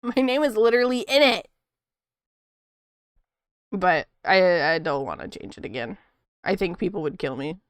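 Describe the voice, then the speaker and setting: Chatty woman with a soothing, smooth voice. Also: lowish voice, expressive, normal speed, pauses often, dry recording, studio quality. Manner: rambling and chatty, laughs often. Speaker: art streamer. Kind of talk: casual chat while drawing on stream.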